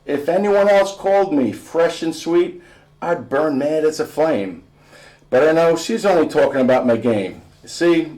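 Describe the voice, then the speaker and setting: A man's voice: on the high side, darkish tone, voice pushed up, low-quality recording. An American man voicing a cocky teenage boy basketball player in punchy, rhythmic verse from a storybook. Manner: rhythmic, punchy rhyming delivery.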